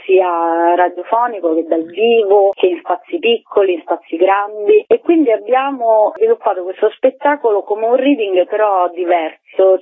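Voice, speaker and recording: A tinny, dry telephone connection carries the voice of a woman with a mid-range pitch. She speaks as a guest in a radio interview, explaining things articulately in long, flowing sentences. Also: no room sound. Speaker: theatre artist, interview guest. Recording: tinny phone line on radio.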